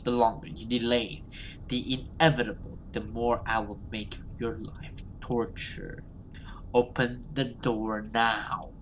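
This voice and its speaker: A man's voice: medium pitch, flat delivery, slow pace, noisy background, lightly acted. A man voicing a menacing female demon from a horror game, commanding and threatening. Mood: menacing threats.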